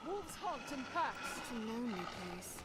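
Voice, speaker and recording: A woman's voice: fairly high pitch, very animated, moderate pace, fairly bright tone. An actress voicing a young female adventurer companion in a video game, making terse, matter-of-fact remarks. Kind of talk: video game character dialogue.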